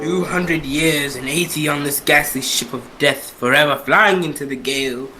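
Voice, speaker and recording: A man's voice: on the high side, normal speed, loud music behind the voice, lightly acted, poor audio. A man playing a bitter, cursed ghost ship captain in declamatory old-time radio melodrama. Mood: anguished. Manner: declamatory, anguished, vengeful.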